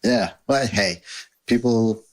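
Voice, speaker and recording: Low-voiced New York podcast host. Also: male voice, takes it slowly. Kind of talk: podcast interview.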